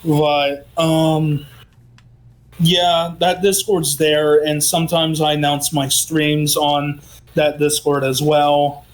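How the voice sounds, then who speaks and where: A man with a fairly high voice chats about gaming news at a slow, unhurried pace. His voice is worn from talking all day, and he pauses often with ums. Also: level tone, rough recording. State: losing his voice. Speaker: co-host, gaming news presenter. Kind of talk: casual chat.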